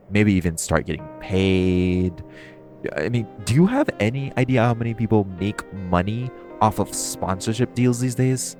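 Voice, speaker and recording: A low-pitched, flirty male supervillain played by a man in an intimate audio roleplay. He is cocky and curious, teasing the listener in a casual, conversational way with playful pauses. Music plays clearly behind him. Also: a little mellow. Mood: coaxing, flirty.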